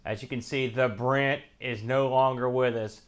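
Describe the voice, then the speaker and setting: A man's voice: mid-range voice, steady tone, moderate pace, clear, resonant tone. A man hosting a retro gaming show, giving casual, wry unboxing commentary in folksy slang as he thinks aloud while handling items.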